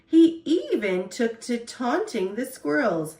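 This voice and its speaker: A woman storyteller reading a children's picture book aloud in an expressive, deliberate way. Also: on the high side, echoey room.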